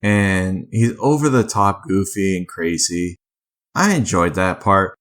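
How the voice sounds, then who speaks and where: Low-voiced man giving rambling casual reviews. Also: animated, fairly bright tone. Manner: rambling, self-correcting, halting. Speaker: host of a movie ranking video. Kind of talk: casual off-the-cuff review commentary.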